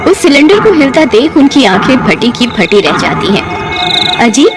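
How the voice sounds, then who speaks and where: Female storyteller for cartoon ghost tales: high, animated delivery over a music bed. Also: moderate pace.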